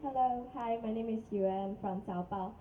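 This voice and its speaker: A woman's voice: fairly high pitch, normal speed, dull audio, a little hiss or hum. A woman reporter asking a question at a press conference, polite and hesitant.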